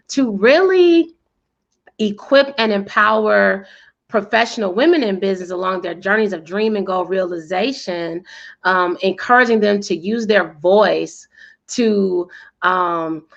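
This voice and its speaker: A woman's voice: medium pitch, dull audio. An American woman giving articulate, emphatic answers as an interview guest, with a powerful, self-assured voice. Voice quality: loud, powerful voice. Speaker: interview guest, PR coach and speaker.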